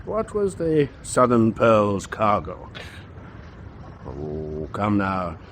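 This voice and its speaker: Urbane wartime naval captain, noisy backdrop. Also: male voice, lowish voice, wildly swooping pitch, extremely slow, full character voice. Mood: serious, confiding. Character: worldly German naval captain, genial host, scornful of Berlin. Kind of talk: wartime radio drama acting.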